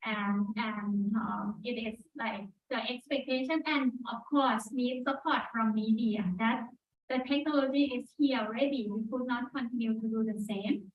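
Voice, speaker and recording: Halting Thai woman answering from a distance. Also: fairly high pitch, fairly even intonation. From Thailand. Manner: halting, searching for words, many fillers. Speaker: exhibition organizer spokesperson. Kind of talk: press conference answer. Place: conference hall. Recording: echoey room, voice from afar, dull sound.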